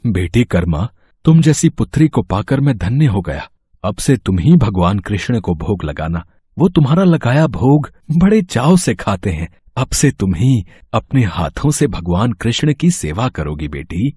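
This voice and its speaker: A man's voice: on the low side, very animated, a little slow, dark tone, husky, no room sound, full character voice. A man playing a devout, affectionate father in a devotional story, speaking in an earnest, reverent way. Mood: reverent, grateful. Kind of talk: Hindi devotional story acting.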